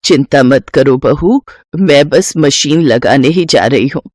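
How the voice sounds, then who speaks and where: A woman voicing a gentle elderly grandmother in a story, meek and plaintive in manner. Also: rumbling low, slow, breathy edge, no room sound, clean studio sound, voice pushed down, heavily acted. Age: older.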